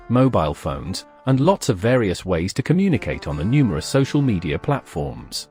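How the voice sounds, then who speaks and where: Lively-pitched male documentary narrator.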